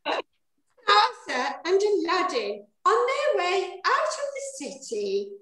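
A woman's voice: very high voice, very animated, slow, sharp tone. A middle-aged woman directing and narrating a pantomime, giving stage directions in a theatrical manner. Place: indoors at home, on a video call. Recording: echoey room on video call.

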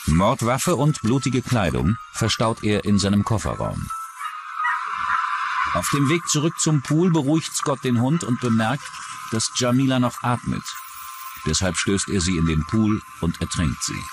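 A man delivers scripted true-crime narration in a low voice. His intonation moves a lot as he tells the story with measured drama. Music plays clearly underneath. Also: sing-song. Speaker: documentary narrator. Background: music behind the voice.

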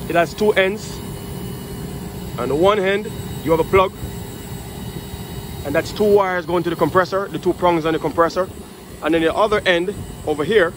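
A man walks through a repair step by step in a loose, casual way, dropping plenty of fillers as he works. His voice sits a little higher than usual. The phone recording is thick with outdoor noise and passing aircraft. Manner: casual, explains while working, many fillers. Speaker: HVAC technician and channel host. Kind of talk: hands-on troubleshooting walkthrough. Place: outdoors at a rooftop unit. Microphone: phone camera held in one hand.

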